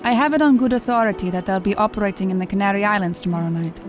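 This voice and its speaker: A woman's voice: medium pitch, racing, over music, close to an everyday voice. Actress as a cool, sardonic female crime boss. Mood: businesslike. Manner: sardonic, self-assured. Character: cool, teasing female crime boss who hires killers. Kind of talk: dramatic audio drama acting.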